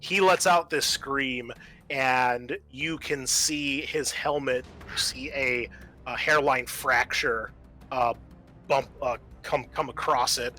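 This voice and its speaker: A man working as game master, narrating a tabletop horror game in a halting, descriptive way. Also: mid-range voice, pushed and bright.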